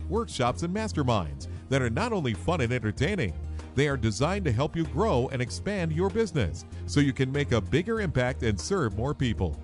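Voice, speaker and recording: A man's voice: medium pitch, darkish tone. A man reading a scripted promotional radio advertisement as an announcer. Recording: faint music bed. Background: light background music.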